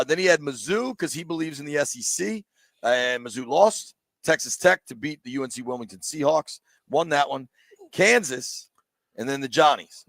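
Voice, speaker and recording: A man hosts live sports radio from a sports bar, cracking jokes and ribbing his co-host and guests as he runs through picks. His voice sits a little high with a gravelly edge. The sound is dry and close. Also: a bit bright, no room sound. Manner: joking, teasing, rapid bracket rundown. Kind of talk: casual sports radio banter.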